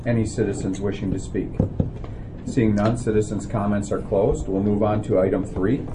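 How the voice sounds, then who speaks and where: Lower-voiced man chairing a meeting. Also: steady tone, moderate pace. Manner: procedural, businesslike announcements. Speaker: committee chair. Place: county board meeting room. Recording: noisy meeting room recording.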